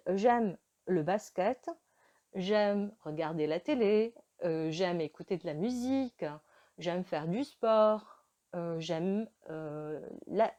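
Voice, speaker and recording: Slow, mellow teacher. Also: female voice, lowish voice. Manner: slow, careful teaching delivery with frequent hesitations. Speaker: French teacher. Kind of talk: slow beginner language lesson.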